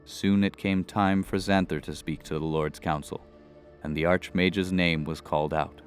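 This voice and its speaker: A man's voice: deep voice, steady tone, moderate pace, soft background music. A man narrating a scripted fantasy audio drama as its storyteller.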